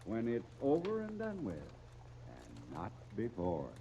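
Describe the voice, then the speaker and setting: Blustery uncle, low and swooping. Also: male voice, wildly swooping pitch, slow pace, creaky, full character voice. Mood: placating, firm. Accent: American. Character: evasive, blustery, fond middle-aged uncle. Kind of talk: 1930s radio serial acting. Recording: muffled, noisy 1939 radio transcription.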